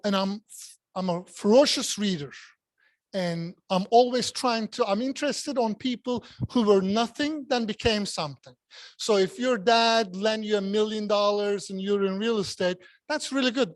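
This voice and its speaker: A man's voice: high voice, moderate pace, no room sound. A man who speaks English as a second language, giving a jokey, self-mocking speech at a club meeting and repeating words for emphasis. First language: not English.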